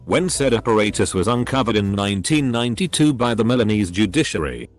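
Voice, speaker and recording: Low-voiced English man narrating posts. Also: soft background music. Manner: reads one post after another in a steady, measured delivery. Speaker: narrator reading online posts. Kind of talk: scripted narration.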